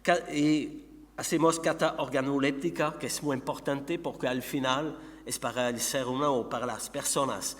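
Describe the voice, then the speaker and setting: A man's voice: medium pitch, fairly even intonation, a bit bright. Quebec French-accented man presenting in an echoey hall. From Montreal, Canada. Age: middle-aged. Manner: halting, searching for words in a second language. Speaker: conference speaker, company founder and researcher. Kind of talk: conference presentation. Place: conference hall. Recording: echoey hall with long reverb, background hum.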